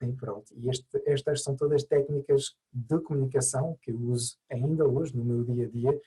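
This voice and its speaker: A man's voice: medium pitch, steady tone, moderate pace, warm tone, slightly rough, poor audio. A man who learned Portuguese as an adult, by birth, answering interview questions thoughtfully with many hesitations and restarts. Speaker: mediator, interview guest.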